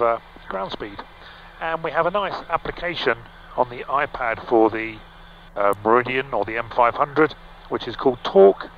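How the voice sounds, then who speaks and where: A middle-aged male pilot talks through his instruments in a relaxed, explanatory way, pausing with ums and restarting sentences. His voice sits at an ordinary pitch and comes through a headset mic that sounds a little dull. A faint hum of engine noise stays underneath. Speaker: pilot and vlog host. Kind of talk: casual cockpit walkthrough. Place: small aircraft cockpit in flight. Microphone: headset boom mic.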